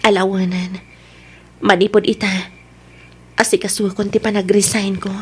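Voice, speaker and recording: A woman's voice: mid-range voice, flat delivery, moderate pace, husky, lightly acted. A Filipino woman acting in an audio drama as a troubled, regretful wife and schoolteacher. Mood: apologetic, resolved.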